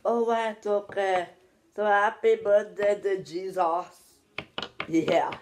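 A man's voice: high voice, steady tone, takes it slowly, a little hiss or hum. A teenage boy voicing a cheeky 29-year-old Mexican man puppet with a put-on Mexican accent, talking in a home dining room into a laptop camera. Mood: chummy. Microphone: laptop webcam.

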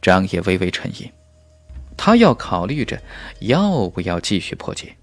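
A man's voice: deep voice, very animated, moderate pace, no room sound. A man narrating a fantasy novel as a scripted audiobook, with a dramatic storytelling delivery.

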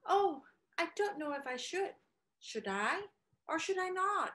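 A woman's voice: extremely high pitch, big pitch swings, measured pace, a bit bright, full character voice. A young woman playing a sweet, hesitant storybook princess in a pantomime. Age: young adult. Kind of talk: children's pantomime acting.

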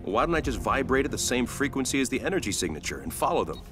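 An actor playing an eager, impulsive speedster superhero in a video game. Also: male voice, medium pitch, very animated, very fast, over music, natural voice.

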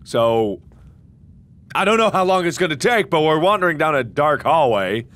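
A man with a hoarse voice giving jokey let's-play commentary into a headset, with sudden excited yelps. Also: on the high side, normal speed. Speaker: gaming video host.

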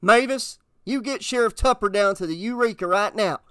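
A man voicing a hot-tempered, blustering small-town father with a gruff growl in an audiobook. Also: sits high, normal speed, a bit bright, dry recording, studio quality, pitched above the performer's own voice. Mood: irritated, blustering. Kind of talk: audiobook character voice.

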